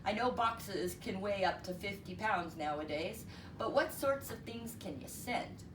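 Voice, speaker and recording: A woman's voice: medium pitch, measured pace, natural voice. A woman voicing a plain-spoken, thrifty rural father in a storybook, folksy and matter-of-fact. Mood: folksy, persuasive. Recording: roomy, distant mic, noisy.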